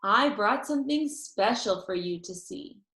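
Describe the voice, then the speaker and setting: A woman voicing an excitable, boastful little girl in a children's storybook, with an eager, emphatic, childlike delivery. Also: mid-range voice, measured pace. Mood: showing off. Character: excitable, boastful little girl, kindergarten or first-grade age. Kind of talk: children's storybook read-aloud. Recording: roomy echo.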